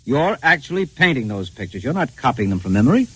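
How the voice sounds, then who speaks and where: An actor playing a pragmatic, reassuring friend in a 1950s radio drama, with a casual, wry, coaxing manner. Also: male voice, medium pitch, big pitch swings, fast talker, fairly bright tone, slightly rough, low-quality recording. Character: pragmatic, reassuring male friend of a troubled painter.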